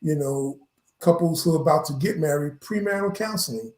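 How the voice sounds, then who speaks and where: A man's voice: fairly high pitch, fairly quick, a little mellow. A man giving an earnest, instructive explanation in a conversational way, as a guest educator. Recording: echoey room sound.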